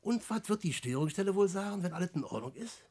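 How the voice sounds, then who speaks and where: An actor in a 1960s German radio play as a cocky, ageing small-time schemer, lecturing in slangy talk. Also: male voice, high-pitched, animated, fast talker, darkish tone, breathy edge. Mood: playful, lecturing. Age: older.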